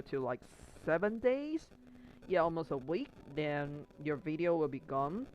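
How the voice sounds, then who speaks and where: A man's voice: fairly high pitch, a little hiss or hum, low-quality recording. A man from Taiwan with a mixed Australian and Canadian accent, chatting casually on a stream while crafting, rambling with many restarts and fillers. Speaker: Twitch streamer.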